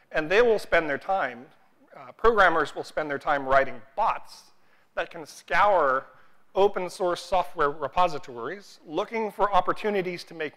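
A man in his forties speaks on a large conference stage with a bright, forceful voice, pitched a little high for a man. He jokes at his own expense and peppers his talk with "uh.". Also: low-quality recording. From United States. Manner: self-deprecating, joking asides, frequent "uh" fillers.

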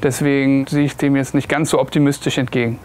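A young man from Berlin answering interview questions quickly, in a self-deprecating way. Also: medium pitch, level tone, darkish tone. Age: young adult. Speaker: competitor. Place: outdoor patio.

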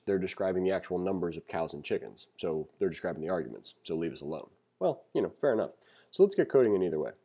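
A man's voice: low-pitched, mellow. A man giving a read-along programming lesson as a teacher, reading aloud and adding wry, conversational asides.

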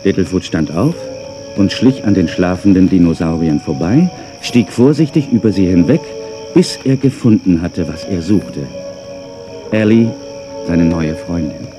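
A man narrating a scripted children's audio drama as a calm storyteller. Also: deep voice, measured pace, music in the background.

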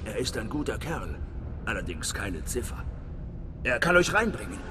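An actor playing a hearty, wry middle-aged spy station chief in a video game, theatrical and conspiratorial. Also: male voice, fairly low pitch, big pitch swings, moderate pace. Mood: conspiratorial, serious. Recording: long reverb, game music behind.

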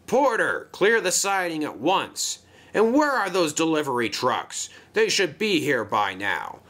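A man's voice: on the high side, big pitch swings, a little slow, a bit bright, pitched above the performer's own voice, exaggerated voice. A man voicing a grumpy, bossy dockside crane in a children's train story, grunting and barking orders. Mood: bossy, impatient.

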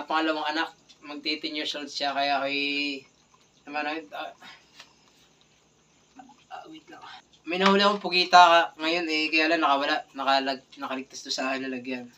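A man's voice: fairly high pitch, steady tone, normal speed, pauses often, pushed and bright. A man in his thirties from the Philippines, chatting casually to his viewers as he shows off his fishing catch and thanks them over and over. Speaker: vlogger, spearfisher. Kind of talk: casual vlog narration. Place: small tiled kitchen indoors, at night. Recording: distant phone audio with clear background noise.

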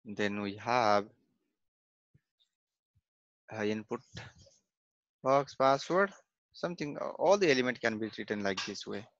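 Halting man teaching code with fillers. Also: fairly low pitch, expressive, a bit bright, no echo, lo-fi sound. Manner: hesitant, many fillers and repeated "okay, fine". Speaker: software testing instructor. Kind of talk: screen-recorded coding lesson.

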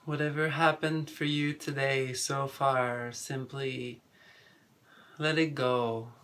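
A man guiding a meditation in soothing phrases with long pauses between them. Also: mid-range voice, flat delivery, a bit bright. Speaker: meditation teacher. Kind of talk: guided meditation.

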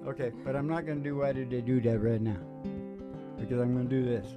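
A man's voice: medium pitch, fairly even intonation, quick pace. A middle-aged man hosting with rambling, joking, casual stage banter between songs. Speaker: singer and show host. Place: small dim curtained stage indoors. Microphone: microphone on a stand. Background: acoustic guitar music.

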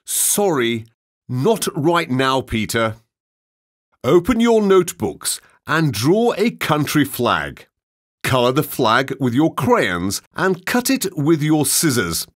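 A man playing a polite, orderly schoolteacher in an educational children's cartoon, speaking in clear instructional phrases. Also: medium pitch, wildly swooping pitch. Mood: instructive, polite. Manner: slow, clearly enunciated instructional delivery. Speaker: teacher.

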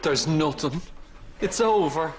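An actor playing a sad, camp, heartbroken son in a broad sitcom style. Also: male voice, fairly high pitch, expressive, slow pace. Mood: sad, indignant. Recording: noisy studio with loud audience.

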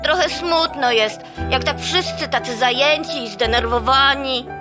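High-pitched little Christmas elf. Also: female voice, measured pace, over music. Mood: subdued. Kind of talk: children's audio play acting.

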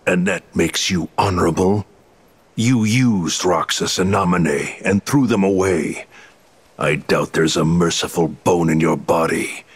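An actor playing a cold, commanding villain in a video game cutscene, speaking in a measured, menacing, accusing way. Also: male voice, low voice, husky, exaggerated voice.

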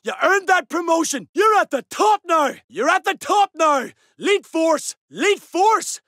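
A man voicing a gruff, battle-hardened soldier in a video game, barking urgent, blunt combat lines. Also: very high voice, sing-song, measured pace, no echo, studio quality, exaggerated voice. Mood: approving. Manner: urgent, forceful, blunt swearing.

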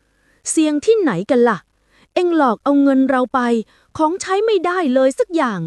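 A woman voicing an indignant farmer uncle who shouts accusations in a storybook tale. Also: high-pitched, very animated, normal speed, dry recording, clean studio sound, pitched above the performer's own voice. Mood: indignant, angry.

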